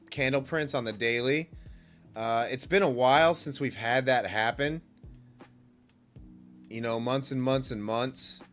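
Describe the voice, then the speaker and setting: A man's voice: medium pitch, a bit bright, clean tone. A man with a slightly stuffy, congested nose hosts a live trading stream, chatting casually and commenting on the markets in a laid-back, rambling, informal way. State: stuffy nose and cough from a cold. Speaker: livestream host, futures day trader.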